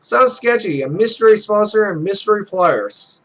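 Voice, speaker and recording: A man acts out a young male pro gamer in a visual novel game, cocky and grumpy. The voice is high, the talk blunt and brash, with music behind it. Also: animated, normal speed, fairly bright tone, exaggerated voice, rough recording. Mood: skeptical, cocky.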